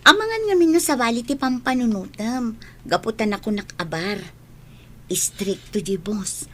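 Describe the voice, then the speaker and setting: Actress as young city barmaid, slightly high pitch, friendly, chatty, persuasive, radio play. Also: female voice, animated, normal speed, sharp tone, slight background noise. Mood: reassuring. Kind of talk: Ilocano radio drama acting.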